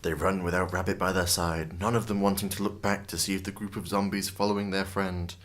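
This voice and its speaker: Male actor as storybook narrator for dark fantasy radio play, lowish pitch, scripted delivery. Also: flat delivery, brisk. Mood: narrating.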